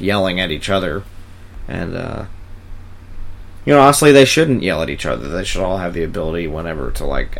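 Man, low pitch, heavy vocal fry, informal chat, hesitant, rambling. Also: slight background noise. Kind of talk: casual rambling chat with frequent profanity.

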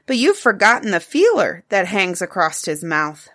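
A woman voicing a calm, fond father who gently corrects his daughter in a storybook. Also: mid-range voice, normal speed. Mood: patient. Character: patient, fond cave father. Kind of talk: storybook reading.

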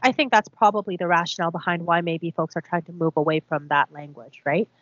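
Low-voiced woman, thoughtful podcast talk. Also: steady tone, quick pace, fairly bright tone, no room sound. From South Korea. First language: Korean. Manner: thoughtful, hedging, lots of "I think" and "like". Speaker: podcast co-host. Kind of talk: casual podcast discussion. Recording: dull lo-fi sound.

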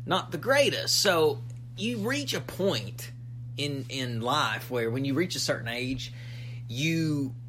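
A man in his thirties co-hosting a podcast, musing casually in halting, repetitive talk. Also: mid-range voice, lively intonation, bright, forceful tone, a little hiss or hum. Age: 30s. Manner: musing, halting, repeats himself. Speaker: podcast co-host. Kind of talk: casual chat.

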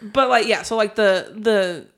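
A young woman in relaxed podcast chat, her voice sitting at an ordinary middle pitch. She giggles often and strings her thoughts together with "like". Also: a little slow. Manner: giggly, says "like" a lot. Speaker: podcast co-host. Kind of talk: casual chat.

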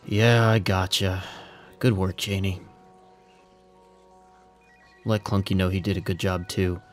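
Dry, low police captain. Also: male voice, steady tone, soft background music. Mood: calm, probing. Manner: dry, understated, unhurried authority. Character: dry, steady male police captain in command. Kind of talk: audio drama acting.

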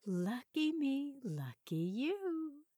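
A woman's voice: medium pitch, big pitch swings, takes it slowly, slightly rough, no room sound, studio quality, full character voice. A woman voicing a playful, flirtatious mistress in an audiobook, with a teasing manner. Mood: sultry, flirtatious.

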